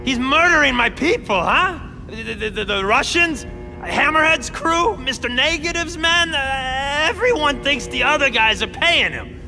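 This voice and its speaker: An actor playing a nervous, stammering gang boss in a video game, boastful one moment and panicky the next. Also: male voice, very high-pitched, animated, measured pace, bright, forceful tone, exaggerated voice. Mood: anxious. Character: jittery male gang boss with a power suit. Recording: game audio with reverb and music.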